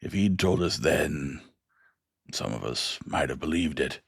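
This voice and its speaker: A man reading a blunt gruff townsman. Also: a bass voice, lively intonation, measured pace, no echo, clean studio sound, full character voice. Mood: level. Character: gruff shouting man, skeptical.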